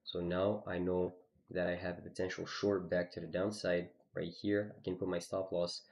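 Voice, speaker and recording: A man's voice: deep voice, flat delivery, moderate pace, gravelly, darkish tone, muffled recording, slight background noise. A man streaming live, casually walking through his trades on a chart, with lots of "you know" and "okay". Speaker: trading streamer.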